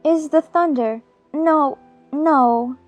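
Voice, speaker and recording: A woman's voice: high-pitched, very slow, muffled recording, soft background music. A woman playing an earnest, polite young girl in a dramatized storybook, eager and decided as she reasons things out. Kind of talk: dramatized audiobook acting.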